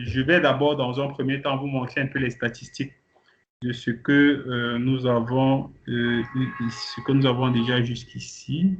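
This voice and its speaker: Hesitant man presenting on a video call. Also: medium pitch. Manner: hesitant, many "euh" fillers and restarts. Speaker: agency head leading an online training kickoff. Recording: muffled call audio with faint noise.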